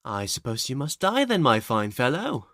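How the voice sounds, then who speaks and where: A woman's voice: very deep voice, big pitch swings, normal speed, fairly bright tone, dry recording, studio quality, exaggerated voice. A woman playing a refined, cautious space princess in a sci-fi roleplay, with formal, courtly speech. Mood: poised. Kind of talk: ASMR audio roleplay acting.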